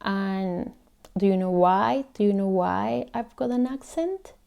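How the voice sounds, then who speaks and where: A woman's voice: mid-range voice, creaky, dull audio, heavy background noise. A young woman from Colombia, speaking English with a Colombian Spanish accent, in an earnest, heartfelt personal monologue. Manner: earnest and heartfelt, soft and measured. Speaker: vlogger.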